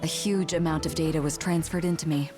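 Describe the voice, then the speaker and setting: An actress playing an earnest, composed woman in a sci-fi video game cutscene, speaking in a measured, clearly enunciated way. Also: low-pitched, fairly even intonation, over music, lightly acted. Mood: solemn, explaining.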